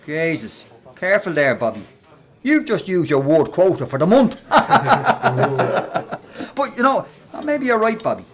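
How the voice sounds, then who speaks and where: Loud, jeering workplace bully, swooping voice. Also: male voice, sits high, sing-song, unhurried, pauses often. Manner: taunting, mimics stammers. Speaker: actor in a radio play. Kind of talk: radio drama acting.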